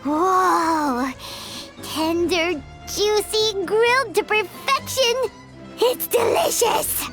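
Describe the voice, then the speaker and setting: A woman voicing a tiny, excitable, gluttonous fairy companion in a video game, squeaky and childlike, exclaiming dramatically. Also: drawn-out words, over music, exaggerated voice. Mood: excited, gushing. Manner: refers to self in third person, exclamatory.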